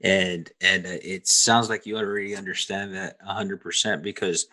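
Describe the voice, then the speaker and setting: Bright-voiced stammering male podcast host. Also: low voice, fairly even intonation, moderate pace. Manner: stammering, repeats words at starts. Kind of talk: casual podcast interview.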